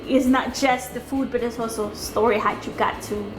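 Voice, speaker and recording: A woman's voice: on the high side, fairly even intonation, moderate pace, poor audio. A woman from El Salvador, a cooking show contestant, talking earnestly and emotionally in an interview. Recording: music bed underneath.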